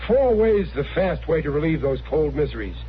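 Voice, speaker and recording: A man's voice: a little high, sing-song, moderate pace. A man giving a scripted testimonial in a 1950s radio advertisement. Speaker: testimonial spokesman in commercial. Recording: dull vintage radio recording, faint noise.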